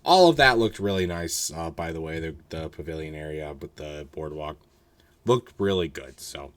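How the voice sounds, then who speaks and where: A young man with a deep, low voice that is hoarse. He talks in a loose, rambling way, full of ums and restarts, and gives blunt, candid opinions in a casual solo review. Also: expressive, moderate pace. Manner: rambling, filler-heavy, candid. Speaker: roller coaster reviewer.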